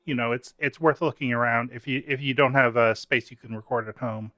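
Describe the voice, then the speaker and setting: Bright, dry-sounding male interviewer. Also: medium pitch, fairly even intonation, brisk, no room sound. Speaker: interviewer, host. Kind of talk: casual interview chat. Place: remote video call, dry room. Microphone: video call mic.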